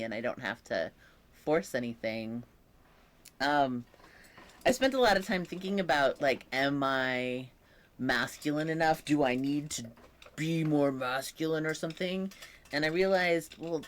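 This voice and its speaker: Young man, unhurried and reflective, voice that sometimes croaks, casual vlog talk. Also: fairly high pitch, a bit bright, a little hiss or hum. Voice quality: occasionally croaky voice. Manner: slow, thoughtful, with many pauses and fillers. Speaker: vlogger. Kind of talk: casual personal update.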